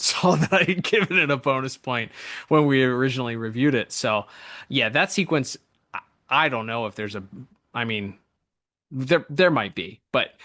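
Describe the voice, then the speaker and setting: Male podcast co-host, mid pitch, informal and enthusiastic, close dry recording. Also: bright, forceful tone, no room sound. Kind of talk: casual animation review chat.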